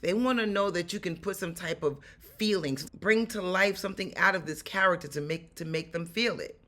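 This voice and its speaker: Woman, 40s, New York accent, raspy and bright-toned; animated acting coach speaking straight to camera. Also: fairly low pitch, normal speed. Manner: animated, emphatic, repeats key points. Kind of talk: direct-to-camera acting advice. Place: small room at home.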